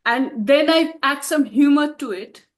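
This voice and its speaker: A woman's voice: high-pitched, a little slow. A woman, an author answering interview questions in a reflective way and laughing often. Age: 50s.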